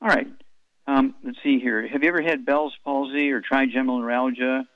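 Man hosting a radio call-in, rambling, brisk questions, dry and slightly dull sound. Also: mid-range voice, steady tone, dry recording. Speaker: radio show host. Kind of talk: radio call-in health advice.

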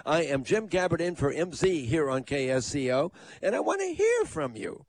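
A man's voice: on the high side, sing-song, moderate pace. Emphatic male talk radio host. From United States. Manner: conversational, emphatic.

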